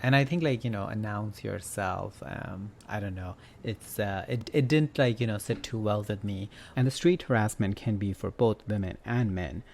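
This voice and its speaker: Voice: mid-range voice, normal speed, vocal fry, hardly any pauses, a little mellow, a little hiss or hum. A podcast host chatting casually about a story, with an easygoing readiness to laugh.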